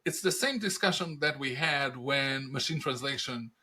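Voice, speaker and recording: A middle-aged man with a Brazilian Portuguese accent, a native Portuguese speaker, answering interview questions in lively stories full of rhetorical questions. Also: mid-range voice, moderate pace. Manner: animated storyteller, rhetorical questions, laughs at own punchlines. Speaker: podcast guest, industry analyst.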